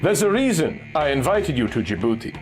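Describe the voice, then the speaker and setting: A man's voice: on the high side, very animated, brisk, soft background music. A man voicing a cool, scheming middle-aged Austrian geologist in a pulp adventure story, speaking with casual persuasion. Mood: serious, coaxing.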